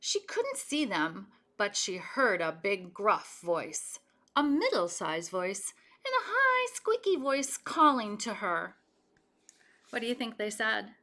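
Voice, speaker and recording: A woman's voice: medium pitch, takes it slowly. A woman reading a children's story aloud expressively, speaking directly to young listeners. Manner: animated, addressing young listeners with questions. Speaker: storybook reader.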